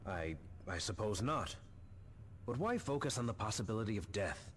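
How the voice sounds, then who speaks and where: Earnest young soldier, lowish pitch, questioning manner, video game, faint noise behind. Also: male voice, sing-song, moderate pace. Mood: upbeat, questioning.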